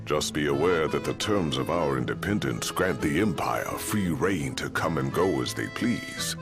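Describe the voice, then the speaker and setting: An actor playing a shrewd, courteous older man in a game cutscene, with measured, formal, wry phrasing. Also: a bass voice, expressive, over music. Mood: welcoming, knowing. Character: shrewd, gravel-voiced older local man, courteous and knowing. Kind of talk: video game cutscene acting.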